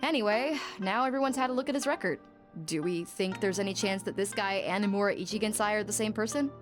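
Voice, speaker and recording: A woman's voice: medium pitch, quick pace, natural voice. An actress playing a blunt, irritable young woman who works at a bathhouse, speaking in a brash, grumbling way in a game. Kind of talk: game voice acting. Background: soft game music.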